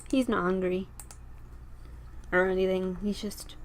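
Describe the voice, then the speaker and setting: A young woman with a slightly raspy, tired voice chatting casually as she plays a life-sim game, rambling and talking to her characters. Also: mid-range voice, fairly even intonation, unhurried, a little hiss or hum. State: tired, sore throat. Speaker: gameplay video host. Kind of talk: casual gameplay commentary.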